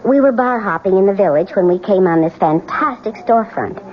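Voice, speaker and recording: An actress playing a chatty, flighty, concerned friend with a gushing, hesitant manner in a radio drama. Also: female voice, mid-range voice, lively intonation, fairly quick, slight background noise, close to an everyday voice. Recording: muffled old radio broadcast.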